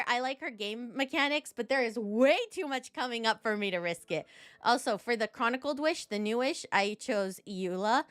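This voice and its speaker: A woman's voice: fairly high pitch, fairly bright tone, clear, resonant tone, no echo. A woman giving casual, chatty live commentary as she plays a video game, thinking aloud to her viewers.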